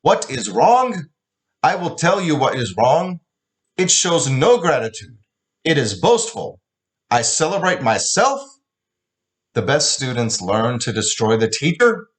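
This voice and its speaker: A man reading aloud and voicing a furious immigrant father who shouts at his daughter in broken English. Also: medium pitch, sing-song, measured pace, pitched above the performer's own voice.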